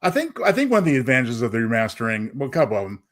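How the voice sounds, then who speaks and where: A man's voice: mid-range voice, wildly swooping pitch, racing. A man co-hosting a podcast, talking in a casual, analytical way. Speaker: podcast co-host.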